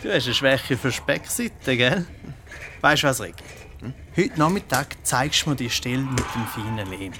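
A man's voice: medium pitch, lively intonation, normal speed, pushed and bright, slight background noise. A man with a Swiss accent playing a good-natured, thoughtful monk craftsman who thinks aloud in a children's radio play. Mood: pleased, friendly.

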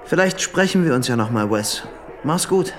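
A man's voice: medium pitch, lively intonation, moderate pace, fairly bright tone, a little hiss or hum, natural voice. An actor playing an obsessive, lovesick young man in a radio play, intense and insistent, shifting from tender to curt. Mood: guarded, polite. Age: young adult.

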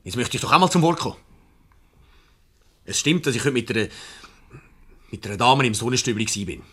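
An actor with a Swiss German accent playing an impatient, defensive husband in a radio comedy. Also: male voice, medium pitch, expressive, fairly quick, fairly bright tone, breathy edge. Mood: exasperated, insistent. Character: exasperated, defensive husband of a jealous wife. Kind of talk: 1970s radio comedy acting.

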